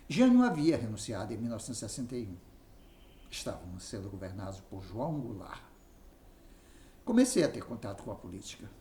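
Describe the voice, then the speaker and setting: A man with a Brazilian accent narrating a written memoir aloud in an expressive reading style. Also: fairly low pitch. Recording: roomy echo, faint background noise.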